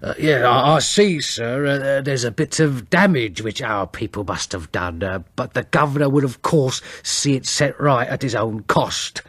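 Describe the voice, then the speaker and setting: Give this character , played by a male narrator, the husky voice of a working builder's foreman. He is deferential and apologetic, stumbling and hesitating as he explains himself to his better. The sound is dry and close. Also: mid-range voice, lively intonation, fairly bright tone, no room sound. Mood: hesitant, apologetic. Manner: halting, apologetic, with hems and haws.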